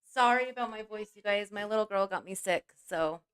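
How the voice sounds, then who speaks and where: A woman hosting a livestream with a scratchy, hoarse voice from a cold, chatting casually and greeting each viewer by name. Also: mid-range voice, a little fast, a bit bright. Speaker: livestream host.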